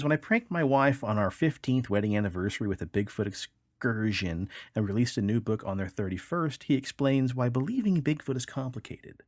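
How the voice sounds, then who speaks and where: Lively, dry-recorded male podcast host. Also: medium pitch, dry recording. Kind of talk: reading odd news stories aloud with casual commentary.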